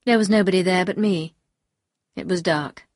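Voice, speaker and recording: A woman voicing a bitter, anguished upper-class husband in an audiobook, speaking gravely and confessionally. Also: lowish voice, normal speed, a little mellow, dry recording, clean studio sound, lightly acted. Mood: grave, low. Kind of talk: audiobook character dialogue.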